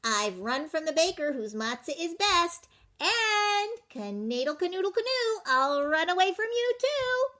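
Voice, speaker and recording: A cheeky little runaway cookie man from a children's storybook, acted out by a woman. The voice sits very high and swings widely in pitch. He brags in sing-song rhyme, clever and pleased with himself. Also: very animated, a little slow, bright, forceful tone, full character voice. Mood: boastful. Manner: boastful sing-song rhyming chant. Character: cocky, clever little matzah cookie man, teasing rascal.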